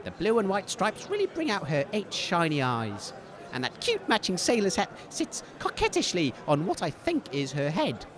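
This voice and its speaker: A man playing an excitable, easily flustered radio sports commentator in a comedy, with a gushing, theatrical delivery. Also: high-pitched, normal speed, exaggerated voice. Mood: gushing delight. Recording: crowd noise behind the voice.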